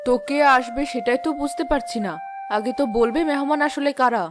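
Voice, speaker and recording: Fast-talking snobbish mother, acted by a woman. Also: sits high, close to an everyday voice. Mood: doubtful. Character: snobbish, doting mother and headman's wife. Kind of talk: cartoon voice acting. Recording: loud background music.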